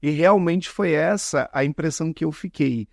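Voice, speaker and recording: A man with a Brazilian accent hosts a conversational interview. His voice sits a little high for a man and is recorded very dry. He talks in a chatty, informal way and readily pays compliments. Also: moderate pace, creaky, darkish tone, dry recording. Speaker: podcast host.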